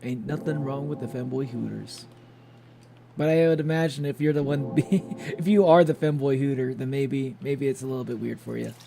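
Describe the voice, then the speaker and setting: A man livestreaming a video game, chatting casually and haltingly with viewers, with informal, sweary speech. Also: mid-range voice, steady tone, vocal fry, background noise. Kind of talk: casual chat with stream viewers. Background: game music.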